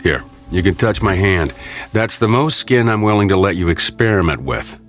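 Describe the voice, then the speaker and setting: Skeptical male doctor, lowish voice, lively intonation, wry and incredulous, radio drama. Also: moderate pace, faint music behind. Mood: wry, wary. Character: skeptical, dry-witted senior male doctor. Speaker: actor in an audio drama.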